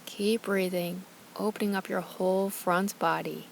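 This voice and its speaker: A woman leads a guided yoga practice in a gentle, soothing manner. Her voice sits at an average pitch, and she gives short, calm cues. There is some ambient noise behind her. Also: unhurried, creaky, rough recording. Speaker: yoga and meditation teacher.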